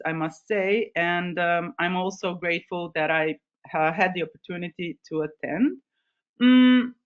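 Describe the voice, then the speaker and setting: A woman's voice: medium pitch, a bit bright. A woman in her thirties from Croatia, an expedition leader giving an informal webinar presentation with frequent ums and restarts. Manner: hesitant, many ums and restarts. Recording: slightly dull, lo-fi webinar audio.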